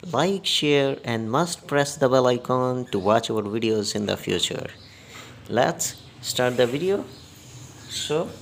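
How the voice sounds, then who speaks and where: Slow, gravelly man giving halting instructions. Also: mid-range voice, slight background noise. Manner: halting, step-by-step instructions in short phrases. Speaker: repair tutorial host. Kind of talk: unscripted hands-on repair demonstration.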